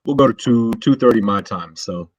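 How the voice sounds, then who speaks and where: A man hosting a casual livestream, chatting easily with a guest and reading out chat messages, with a slow, relaxed delivery full of fillers. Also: mid-range voice, dry recording. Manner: laid-back, drawling, many "uh" and "um" fillers. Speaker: livestream host.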